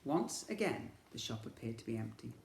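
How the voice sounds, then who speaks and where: A man reads a children's story aloud like a primary teacher. His narration is highly animated, moving freely up and down in pitch. The recording is roomy, with a noticeable echo. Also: moderate pace, gravelly.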